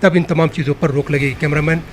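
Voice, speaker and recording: A man's voice: fairly high pitch, steady tone, fast. A man reporting from the field for TV news and putting wordy questions to a guest. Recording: clearly noisy location sound.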